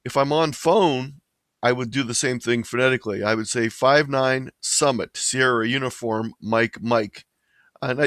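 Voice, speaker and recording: A man's voice: mid-range voice, fairly bright tone, dry recording. A man explaining things informally as a webinar presenter. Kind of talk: informal instructional webinar talk. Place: indoors, online webinar.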